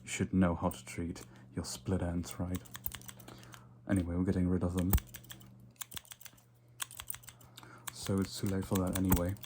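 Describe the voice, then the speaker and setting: A man playing a vain, condescending yet flirtatious vampire in a soft-spoken, nonchalant ASMR roleplay. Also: low voice, completely flat delivery, noisy background, close to an everyday voice. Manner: soft-spoken, unhurried, repeats words in whispers.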